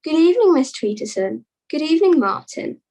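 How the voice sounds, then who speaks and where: A woman plays a courteous comedy puppet. The high voice has a gravelly fry and rises and falls in big, formal swoops. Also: very animated, brisk, dark tone. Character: polite, pleased bearded puppet. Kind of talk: comedy puppet show acting.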